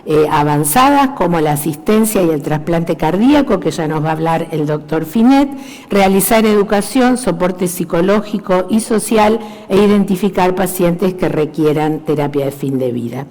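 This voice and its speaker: A woman giving a measured medical conference presentation, summarizing points in an orderly list. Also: lowish voice, expressive, a little mellow. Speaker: conference speaker, cardiologist. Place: large lecture hall. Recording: reverberant hall.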